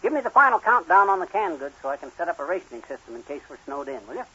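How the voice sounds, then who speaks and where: An actor playing a bustling, self-important husband in old-time radio comedy style, on a vintage recording. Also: male voice, sits high, big pitch swings, racing, sharp tone, breathy edge, lo-fi sound, full character voice.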